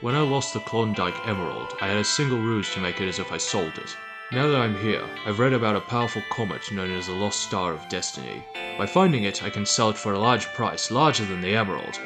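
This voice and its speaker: A man's voice: lowish voice, lively intonation, brisk, music in the background. A man playing a gloating, vicious animal billionaire villain in an audio drama, pompous and menacing.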